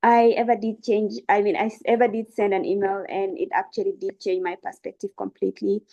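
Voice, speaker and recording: Young woman, mellow creaky voice. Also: medium pitch, flat delivery, fairly quick, dull audio. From South Sudan. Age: young adult. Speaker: student webinar speaker. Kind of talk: informal educational presentation.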